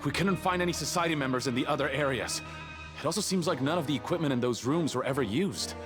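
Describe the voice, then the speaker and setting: A man's voice: on the high side, fast, music in the background, close to an everyday voice. An actor playing a dutiful fortress guard in an anime-style video game, a man reporting earnestly and formally to his superior. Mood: urgent, reporting.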